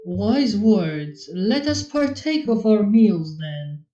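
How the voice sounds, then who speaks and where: A woman's voice: medium pitch, sing-song, slow pace, dark tone, recorded from a distance, muffled recording, soft background music. A woman voicing a composed, regal pony princess with formal, archaic speech in a story reading.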